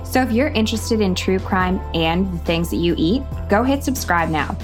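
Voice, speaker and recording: A woman's voice: mid-range voice, expressive, moderate pace, soft background music. A woman co-host reading a scripted podcast promo.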